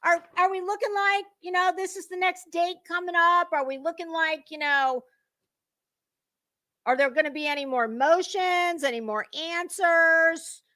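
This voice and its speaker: A woman with a high, forceful voice delivers live gossip commentary. She is theatrical and sarcastic, stretching words for emphasis at a slow pace, with a blunt, scornful edge. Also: no echo, studio quality. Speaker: host of a gossip commentary show.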